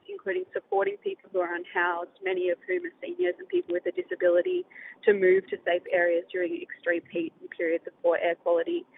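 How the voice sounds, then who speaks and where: A speaker with an Australian accent giving an earnest prepared statement to a city council. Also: female voice, extremely high pitch, creaky. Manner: earnest, read-out statement with rising inflections. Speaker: public speaker at a council meeting. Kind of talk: prepared public comment. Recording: tinny phone line with faint noise.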